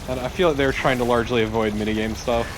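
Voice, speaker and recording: A man with a medium-pitched voice chats flippantly as a game co-commentator. His remarks are casual and offhand, with clear game noise in the background. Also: steady tone, fast talker. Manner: flippant, sweary. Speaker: let's play co-commentator. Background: video game sound.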